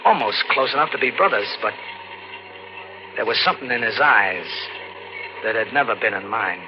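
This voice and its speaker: A man's voice: lowish voice, expressive, moderate pace, slight background noise, lightly acted. An actor playing a scrappy, broke young male drifter in an old-time radio drama, talking in slangy, colloquial style. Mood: uneasy recollection. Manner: colloquial, slangy hard-boiled narration. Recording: muffled vintage radio recording. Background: loud dramatic music.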